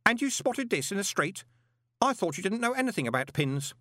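A man's voice: high voice, very animated, brisk, fairly bright tone, no room sound, studio quality, pitched above the performer's own voice, exaggerated voice. A man voicing an earnest, obsessive teenage boy who speaks in a precise, scholarly way. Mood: amazed.

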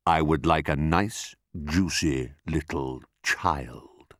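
Man voicing a slow, gloating enormous crocodile. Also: a bass voice, a bit bright, dry recording, clean studio sound, exaggerated voice. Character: enormous greedy boastful male crocodile, sly child-eater.

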